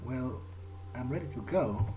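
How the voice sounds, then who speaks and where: A man's voice: medium pitch, animated, a little slow, lightly acted, poor audio. A man roleplaying a wary, earnest vampire game character, muttering his thoughts hesitantly in an everyday voice. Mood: uneasy, musing. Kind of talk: in-character game playthrough commentary. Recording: loud game music behind.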